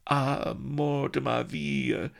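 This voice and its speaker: A man voicing an excitable pirate captain in an audiobook, who babbles French phrases in a shrill, gleeful voice. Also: extremely slow, creaky, full character voice. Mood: exultant.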